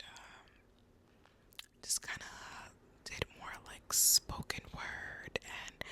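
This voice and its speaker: Slow whispering young woman, ASMR. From United States (Boston). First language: Spanish. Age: young adult. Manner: slow, soft, intimate whisper. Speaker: ASMR creator. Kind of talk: whispered ASMR personal storytelling.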